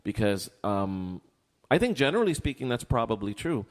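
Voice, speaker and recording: A middle-aged man chatting casually and candidly as a podcast co-host, phrasing his thoughts in a reflective, halting way. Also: lowish voice, very animated. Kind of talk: casual conversational podcast chat. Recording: echoey hall-like reverb.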